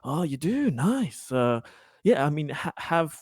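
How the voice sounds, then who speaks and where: Man playing a halting, courteous colony official. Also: on the high side, sing-song, a little mellow, slightly rough, no echo, studio quality, voice pushed up, exaggerated voice. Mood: polite, welcoming. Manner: halting, stammering, polite, rambling. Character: courteous, eager-to-please male colony council official. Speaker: tabletop roleplay player. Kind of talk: improvised in-character tabletop dialogue.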